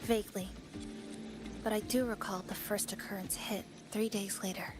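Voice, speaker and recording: A woman playing a calm, thoughtful young heroine in a video game, speaking gently and in measured phrases. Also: mid-range voice, background noise, natural voice. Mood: reflective, somber. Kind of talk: game character acting. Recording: background music over the voice.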